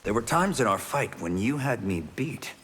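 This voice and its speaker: An actor playing a humble, good-natured martial-arts champion in a video game, courteous and sincere. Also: male voice, medium pitch, very animated, normal speed, a bit bright, slight background noise. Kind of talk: video game character dialogue. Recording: long reverb, faint background ambience.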